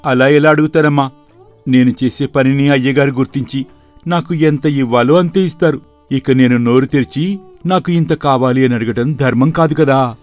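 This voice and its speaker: A man playing a humble, hardworking farmhand, mild and deferential, in an animated moral story. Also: medium pitch, normal speed, soft background music, close to an everyday voice. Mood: humble, accepting.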